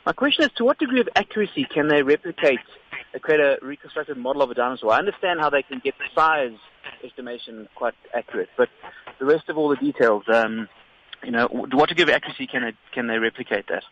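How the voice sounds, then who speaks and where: On a muffled, faintly noisy phone line, a man puts a question to a radio show. He talks quickly in a mid-pitched voice. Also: animated. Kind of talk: asking a question on air. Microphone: telephone. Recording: dull, noisy phone call.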